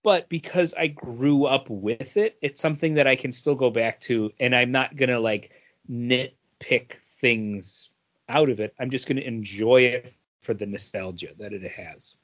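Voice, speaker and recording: A man's voice: mid-range voice, a bit bright. A man in his forties co-hosting a podcast, talking casually with plenty of um and uh fillers. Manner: unhurried, many um and uh fillers. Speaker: podcast co-host. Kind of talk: casual chat. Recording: slightly dull lo-fi audio.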